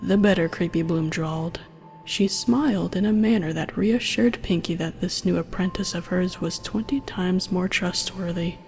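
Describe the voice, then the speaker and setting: A woman narrates a scripted story in a fairly low, mellow voice. Faint music plays behind her reading. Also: moderate pace, gravelly. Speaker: narrator and reader.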